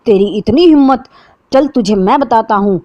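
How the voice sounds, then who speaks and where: A woman's voice: fairly high pitch, animated, moderate pace, no room sound, muffled recording, natural voice. Woman voicing an enraged strict father, threatening, dry close sound. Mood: furious. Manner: shouting, threatening. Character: angry stern father, middle-aged man.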